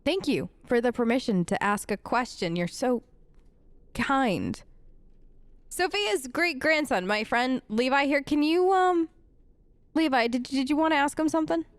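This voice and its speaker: Girl gamer doing rp let's play, chatty and sarcastic, slightly high voice, really dry sound. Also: animated, a little slow, smooth, no room sound. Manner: hesitant, conversational, playfully sarcastic. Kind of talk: casual role-play game commentary, speaking in character.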